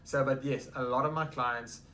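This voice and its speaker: A man's voice: mid-range voice, steady tone, moderate pace, pushed and bright, a little hiss or hum. A man from South Africa with a South African accent, confidently explaining home buying in an office interview. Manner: practical, confident, advising with asides. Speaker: real estate sales executive. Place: real estate office.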